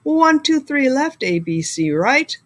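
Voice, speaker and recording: A woman voicing a loud, clumsy, odd male penguin in a storybook, blaring and muddled. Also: high voice, very animated, slow pace, voice pushed up, exaggerated voice. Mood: clueless, eager.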